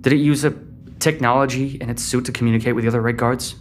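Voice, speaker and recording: A man's voice: mid-range voice, steady tone, fast talker, slight background noise, natural voice. A man playing a troubled, short-tempered but protective teenage boy in a sci-fi audio drama, guarded and terse. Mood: guarded, explaining.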